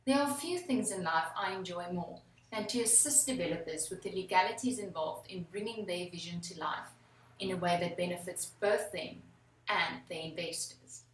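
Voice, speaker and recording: A young woman with a South African accent and Afrikaans as her first language, an attorney giving formal, measured answers in an interview. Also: medium pitch, distant mic. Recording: echoey room, away from the mic.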